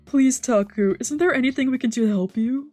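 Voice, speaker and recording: A woman voicing an emotional, exasperated young man from a visual novel, scolding and pleading in an animated, emphatic way. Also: sits high, brisk, no echo, soft background music, pitched above the performer's own voice. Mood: concerned, pleading. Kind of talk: expressive read-aloud dub of a visual novel.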